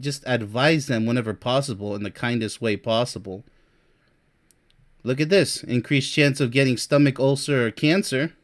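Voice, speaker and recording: A man in his early forties giving a blunt, boastful monologue with sarcastic asides and short laughs, reading points off a list. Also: mid-range voice. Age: 40s. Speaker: relationship coach and vlogger. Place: Europe.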